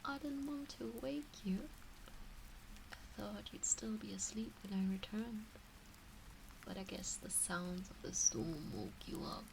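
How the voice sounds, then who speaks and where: A woman playing a teasing, doting, motherly kitsune witch in an intimate ASMR roleplay, cooing in a breathy, whispery way. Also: medium pitch, unhurried, noisy background. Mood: tender, soothing.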